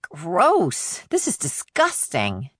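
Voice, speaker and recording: A woman's voice: lowish voice, wildly swooping pitch, extremely slow, fairly bright tone, no room sound, studio quality, heavily acted. A woman voicing a blunt, inquisitive fourteen-year-old girl in short, probing audiobook dialogue. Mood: shaken.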